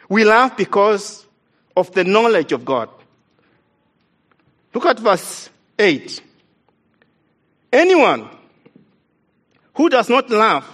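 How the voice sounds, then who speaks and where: A man's voice: high voice, fairly bright tone. A man from East Africa, whose first language is not English, preaching a sermon in an earnest, emphatic way and repeating key lines for stress.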